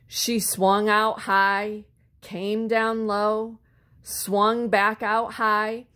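A woman reading a children's story aloud expressively, with deliberate phrasing and words drawn out for effect. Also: mid-range voice, pushed and bright. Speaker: storybook reader.

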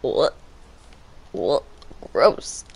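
An excitable, stammering online gamer chatting casually in character during a roleplay game. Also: drawn-out words, noisy background. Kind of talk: casual in-character roleplay chat while gaming.

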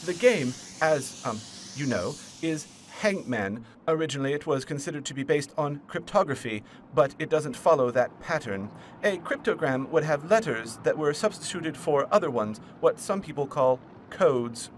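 Man playing nervy puzzle villain, smug, explanatory, highish pitch, faintly noisy recording. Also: lively intonation, moderate pace, lightly acted. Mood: explaining, nervy. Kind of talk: comic fan dub acting.

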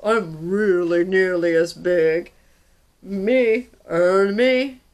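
A woman voicing a huge stomping dinosaur who booms slowly and loudly. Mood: booming. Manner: loud, slow, booming.

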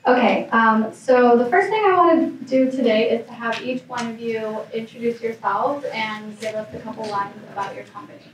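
A woman in her thirties, a lawyer moderating a panel, brisk and friendly. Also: a little high, a little hiss or hum. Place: conference room panel. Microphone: handheld mic. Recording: very echoey room, distant pickup.

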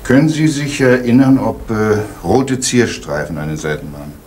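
An actor playing a calm, probing police inspector in an old radio crime drama. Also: male voice, mid-range voice, normal speed, lightly acted. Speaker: police detective Fuchs. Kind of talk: 1980s East German radio crime drama. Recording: noisy old radio play recording.

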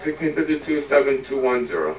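A man reads back clearances as a pilot over the radio. He sounds far off and muffled, played through a speaker into an echoey room. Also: medium pitch, big pitch swings, quick pace, noisy background. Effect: radio heard through a computer speaker. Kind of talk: radio phraseology readbacks. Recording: distant, roomy, muffled speaker playback.

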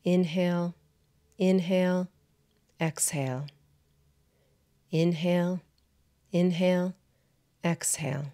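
A woman guiding a meditation as a yoga nidra teacher, in a soothing, measured manner with deliberate pauses between short phrases. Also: fairly low pitch, fairly even intonation, dark tone. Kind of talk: guided meditation narration.

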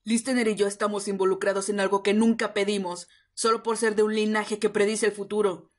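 A woman's voice: medium pitch, little pitch movement, a little fast, close to an everyday voice. A woman playing an emotional, confrontational young man protecting his girlfriend in an amateur roleplay drama. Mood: outraged.

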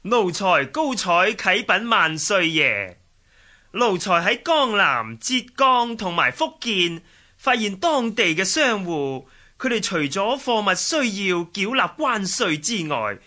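Sharp, shrill scheming eunuch. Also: male voice, very animated, slow pace, exaggerated voice. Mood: servile, scheming. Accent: Hong Kong Cantonese. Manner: servile, insinuating. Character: servile, scheming eunuch tax collector. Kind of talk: historical radio drama acting.

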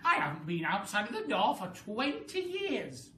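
High, shrieking old grandmother, loud and greedy, drawn-out cries, roomy distant sound. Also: huge pitch swings. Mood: gleeful, demanding. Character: wild, gleeful old grandmother, greedy for more. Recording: roomy, distant mic, faint hiss.

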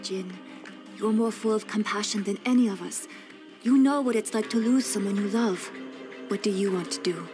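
A woman's voice: medium pitch, normal speed, over music, lightly acted. An actress playing an earnest, principled woman appealing to others in a video game cutscene. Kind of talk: dramatic game cutscene acting.

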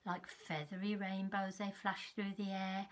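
A woman in her fifties reads a children's story in a measured, expressive way, with a slightly husky voice. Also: mid-range voice. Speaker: teacher reading a storybook to young children. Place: small furnished room at home, indoors.

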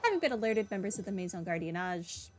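A woman's voice: medium pitch, big pitch swings, quick pace, background noise. A woman giving casual playthrough commentary as a game streamer, sometimes reading dialogue aloud. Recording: game music behind the voice. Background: video game music.

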